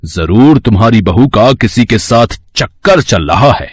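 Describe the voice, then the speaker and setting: A man's voice: on the low side, expressive, unhurried, slightly rough, dry recording. Casting: male actor for a sinister, pompous tantric guru in a moral tale, accusing delivery, dry sound. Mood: sly, insinuating. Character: sly, sinister fake tantric holy man, easily offended. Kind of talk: Hindi moral story dramatization.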